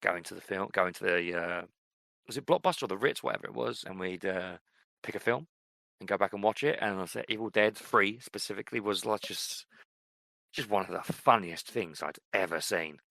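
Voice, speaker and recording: Middle-aged man, podcast co-host, low gravelly voice, relaxed rambling chat, dry close sound. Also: fairly quick, no echo. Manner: relaxed, rambling, frequent fillers. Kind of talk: casual chat.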